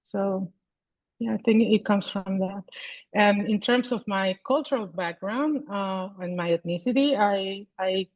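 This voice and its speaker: Venezuelan woman, halting thoughtful speech. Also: mid-range voice, a little mellow, no echo, muffled recording. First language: Spanish. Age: 40s. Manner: thoughtful, halting, many restarts and fillers. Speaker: guest artist. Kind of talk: casual interview over video call. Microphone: video call.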